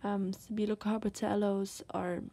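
A woman with a Dutch accent, a native Dutch speaker, giving a casual drawing tutorial as she works, soft-spoken and hesitant, searching for words between many fillers. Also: medium pitch, little pitch movement, moderate pace. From Netherlands. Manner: soft-spoken, often drops to a whisper. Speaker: art tutor. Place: desk, indoors.

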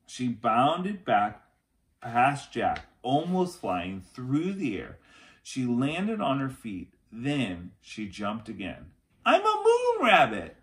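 A man, reading a children's book aloud as expressive narration. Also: mid-range voice, slow pace. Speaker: read-aloud storyteller. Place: small furnished room at home, indoors.

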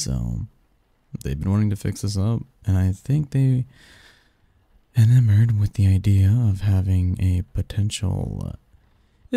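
A man playing a snarky young research assistant who fondly teases a friend in a halting, wordy, intimate ASMR roleplay monologue. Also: deep voice, vocal fry, dark tone, husky, no echo, full character voice. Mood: weary, wry. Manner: halting, sighing, teasing, wordy.

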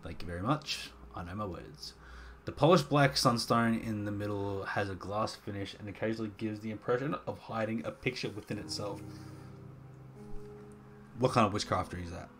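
A young man streaming a horror game, chatting casually and reacting in a jumpy, excitable way, muttering to himself. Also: lowish voice, normal speed. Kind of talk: casual gameplay commentary. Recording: game music playing behind voice.

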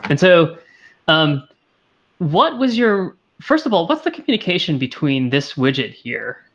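A man's voice: fairly high pitch, very animated, a little slow, fairly bright tone, lo-fi sound. A man co-hosting a casual coding chat, a little congested and sniffly, talking thoughtfully with frequent restarts over a headset on a video call. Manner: thoughtful, hesitant, many restarts. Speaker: co-host of a game dev stream.

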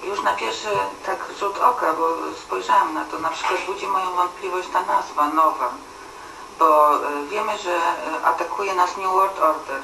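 A woman's voice: lowish voice, moderate pace, sharp tone. A middle-aged woman at a meeting table, raising objections hesitantly with many fillers. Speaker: meeting participant. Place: banquet hall at a table. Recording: roomy and distant, faint hiss.

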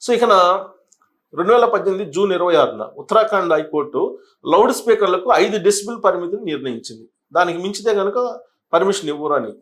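A man's voice: sits high, expressive, a little fast, a bit bright. A man giving explanatory news commentary, partly reading aloud, addressing viewers didactically and sometimes stumbling over and repeating words.

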